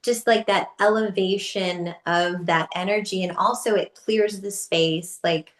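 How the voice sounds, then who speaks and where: A woman's voice: medium pitch, measured pace. A woman guest on a podcast, telling stories casually with lots of fillers and little laughs. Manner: chatty, many fillers and laughs, lively quoted asides. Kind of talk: casual conversational storytelling. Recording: echoey room.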